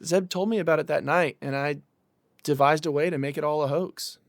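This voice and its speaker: An actor playing an earnest young man in a conversational audio drama, explaining himself in a measured way. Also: on the high side, steady tone, dry recording, studio quality, lightly acted. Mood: earnest, defensive. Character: earnest young man pleading for patience and trust.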